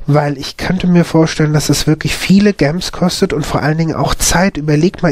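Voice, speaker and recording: A man with a mild cold hosts a casual, unscripted game commentary. His voice sits a little high. He often hesitates to find his words, and the sound is very dry, with no room echo. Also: a little mellow. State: has a slight cold. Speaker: gaming video host.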